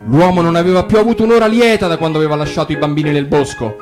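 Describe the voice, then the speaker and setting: A man narrating a fairy tale for radio, animated and bantering, sometimes stammering. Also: on the high side, rapid-fire, over music. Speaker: actor reading a fairy tale. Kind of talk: acted radio storytelling.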